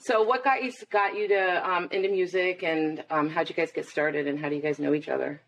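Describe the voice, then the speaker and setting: A middle-aged woman hosts a podcast in a cheerful, chatty way. Her voice sits a little low for a woman. She laughs easily and keeps the talk light. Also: steady tone, fairly quick, slight background noise, low-quality recording. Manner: upbeat, chatty, laughs often. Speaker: podcast host. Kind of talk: podcast interview with intro narration.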